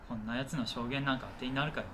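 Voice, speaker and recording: A man playing a cornered, resentful male commuter in a radio drama, talking in rough slang, whining and belligerent as he defends himself. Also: medium pitch, fairly even intonation, racing, noisy background, lightly acted. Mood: irritated, resentful. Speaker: actor in an amateur radio drama. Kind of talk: naturalistic radio drama dialogue.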